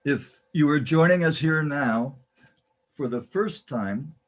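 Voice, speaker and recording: A man giving scripted, theatrical narration for a radio play series in a measured, ceremonious manner. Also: fairly high pitch, lively intonation.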